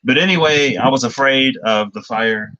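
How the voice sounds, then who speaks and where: A man's voice: mid-range voice, normal speed, bright, forceful tone, no echo, highs cut off. A young man chatting loosely through a tarot reading, rambling and laughing often. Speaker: tarot reader. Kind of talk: casual livestream tarot reading.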